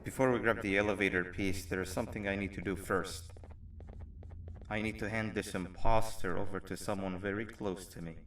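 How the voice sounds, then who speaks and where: Weary game guide, lowish voice, reflective, guarded, understated, distant from mic, background hiss and ambience. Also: male voice, steady tone, a little fast, a bit bright, roomy sound. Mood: matter-of-fact. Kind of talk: video game character dialogue.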